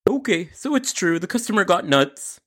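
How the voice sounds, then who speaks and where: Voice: mid-range voice, big pitch swings, normal speed, voice pushed up, close to an everyday voice. A narrator voicing a weary pizza store worker, blunt, exasperated and incredulous in a comic story.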